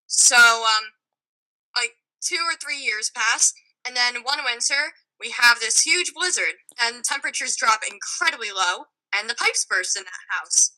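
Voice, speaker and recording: A young adult woman telling a casual personal story in an informal, halting way. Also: fairly high pitch, expressive, bright, forceful tone, poor audio. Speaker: podcast guest.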